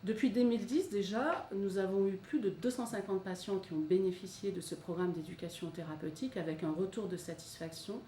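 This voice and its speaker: A woman's voice: medium pitch, fairly quick, few pauses, mellow. A woman giving an explanatory presentation of a health programme as its presenter. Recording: roomy, distant mic, faint noise.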